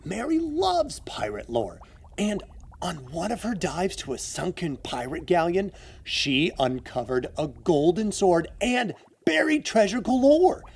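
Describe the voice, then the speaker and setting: A man's voice: fairly high pitch, vocal fry, slightly rough, full character voice. A man acting as a confident, knowledgeable guide in an audio adventure drama, explaining things with emphatic storytelling. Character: knowledgeable male guide and secret society insider, eager and confident.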